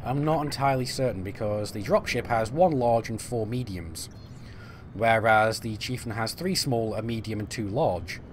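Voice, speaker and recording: A man's voice: on the low side, normal speed, slight background noise. Chatty man streaming a game. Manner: chatty, rambling, answers chat, frequent fillers and restarts. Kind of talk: casual live-stream chat while playing.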